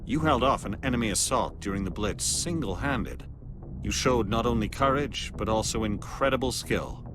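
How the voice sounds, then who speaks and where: Game alien operative, male actor: low voice with wide swings, measured, formal, quietly probing, music underneath. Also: animated, lightly acted. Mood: grave, measured. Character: composed, watchful alien Spectre agent, a male outsider evaluating humans. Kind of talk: video game cutscene acting.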